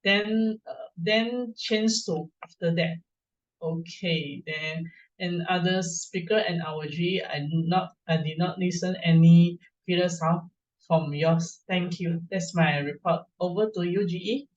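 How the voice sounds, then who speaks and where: A woman giving a halting, hesitant report on filler words at a club meeting, pausing often. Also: lowish voice. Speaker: meeting filler-word counter. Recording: distant muffled call audio.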